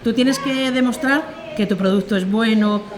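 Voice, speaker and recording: A woman's voice: fairly high pitch, normal speed. A woman, a small business owner explaining at length as a podcast guest. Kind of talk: casual podcast conversation. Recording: crowd noise behind.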